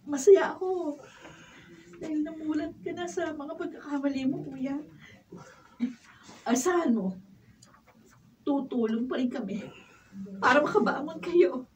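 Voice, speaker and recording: A caring younger sister with a high, emotional voice. She is pleading and distressed, in the manner of Filipino radio drama. The sound is slightly distant, with faint noise behind her. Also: unhurried, pauses often, darkish tone. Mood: tender, moved.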